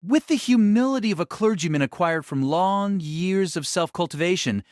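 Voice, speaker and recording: A man narrates a novel in a dramatic, scripted manner. His voice is a little high and moves widely in pitch. The sound is dry, with no room around it. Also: animated, moderate pace, a little mellow, no room sound.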